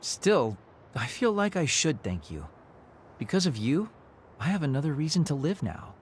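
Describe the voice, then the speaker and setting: A man's voice: fairly high pitch, sing-song, normal speed, slight background noise. A man playing a laid-back young video game character, casual and a little theatrical, with melancholy under the playfulness. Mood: subdued, reflective. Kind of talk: English video game voice acting. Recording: clear music behind the voice.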